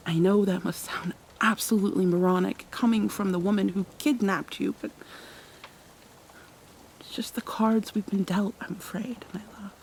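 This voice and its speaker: A woman playing a tender, obsessive female kidnapper soothing her captive in an intimate ASMR roleplay, speaking softly and haltingly, often in breathy whispers. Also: medium pitch, fairly even intonation, lightly acted, rough recording. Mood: tender, coaxing. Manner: hesitant, halting, coaxing. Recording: clear background noise, rain ambience.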